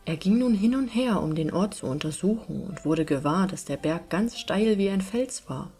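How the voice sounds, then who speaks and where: A woman's voice: medium pitch, animated, normal speed, husky. A woman reading a fairy tale aloud as scripted audiobook narration. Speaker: storyteller, audiobook reader. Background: soft music.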